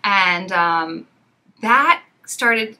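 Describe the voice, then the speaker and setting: A woman's voice: mid-range voice, animated, drawn-out words, pushed and bright. A woman yoga teacher, a native English speaker, chatting as a podcast guest and telling long rambling stories full of "like" and "you know". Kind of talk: casual podcast conversation.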